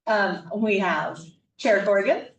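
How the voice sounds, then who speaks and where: A woman staff member calling the roll at a public meeting in a brisk, matter-of-fact way. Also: mid-range voice, lo-fi sound. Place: council chamber. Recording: echoey chamber, mic at a distance.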